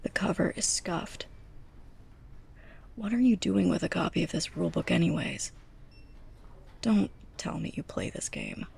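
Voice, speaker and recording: A young woman playing a sarcastic, scolding, snobbish librarian's assistant in a close-up ASMR roleplay, breathy and often near a whisper. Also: lowish voice, lively intonation, measured pace, pauses often, darkish tone, slight background noise, heavily acted. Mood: annoyed, sarcastic. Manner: sarcastic, exasperated, pointed delivery.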